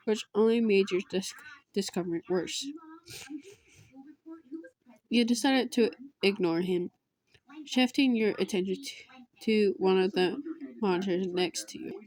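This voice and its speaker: A woman reading a visual novel aloud as she plays, haltingly, often stumbling on words and repeating them. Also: medium pitch, darkish tone, rough recording. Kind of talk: reading game text aloud with brief asides.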